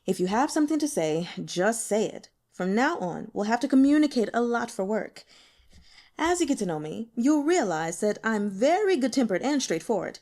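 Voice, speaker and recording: A woman's voice: a little high, very animated, moderate pace, a little mellow. A woman voicing a self-assured, blunt male department boss in an audiobook. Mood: brisk, assured.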